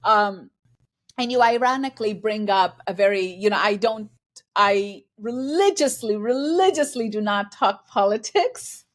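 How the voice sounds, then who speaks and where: A woman's voice: medium pitch, animated, unhurried. A woman from Bangladesh, a guest in a conversational interview, speaking earnestly and reflectively and acting out quoted exchanges. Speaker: guest, finance and transformation executive.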